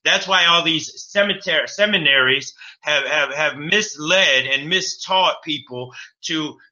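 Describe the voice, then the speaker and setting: A man with a very bright, sharp tone talks earnestly, tripping over repeated words as he builds his point. His voice sits a bit high, and the audio is muffled and lo-fi. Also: measured pace. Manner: stammering restarts, earnest. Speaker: co-host, radio show host. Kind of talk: religious talk.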